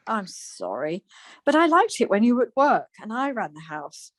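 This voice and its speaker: A woman's voice: on the high side, sing-song, moderate pace, dry recording. A woman acting a scatty, easily exasperated wife in a domestic radio comedy. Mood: stressed. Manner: flustered, snippy.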